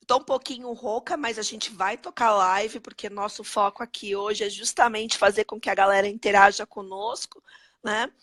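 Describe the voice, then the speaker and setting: A woman with a Brazilian Portuguese accent hosts a live-stream chat in a hoarse voice at a middle pitch. She talks in an upbeat way, greeting viewers by name and using catchphrases, recorded on a webcam. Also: fairly even intonation, normal speed, a bit bright. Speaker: host.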